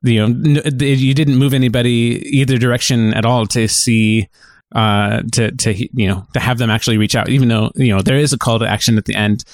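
A man co-hosting a podcast in casual discussion, talking in a halting, reflective way and circling back on his phrases. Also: medium pitch, fairly even intonation, no room sound, studio quality. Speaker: podcast co-host.

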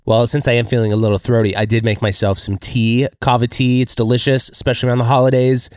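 A man co-hosting a casual roundtable podcast, his voice a little throaty from allergies, playful and quick when explaining. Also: mid-range voice, little pitch movement, clear, resonant tone, dry recording, clean studio sound. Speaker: podcast co-host.

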